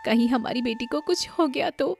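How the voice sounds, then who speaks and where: Woman as a distraught, high-voiced village mother. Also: moderate pace, gravelly, soft background music. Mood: frightened, tearful. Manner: tearful, urgent. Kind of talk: Hindi moral cartoon story dubbing.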